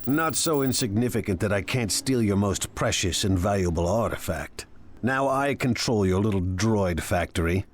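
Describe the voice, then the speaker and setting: A man's voice: lowish voice, animated, normal speed, slight background noise, close to an everyday voice. A man playing a ruthless, ambitious crime boss in a video game, speaking in a smug, imperious, commanding way. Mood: smug, threatening. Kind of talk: cinematic video game acting.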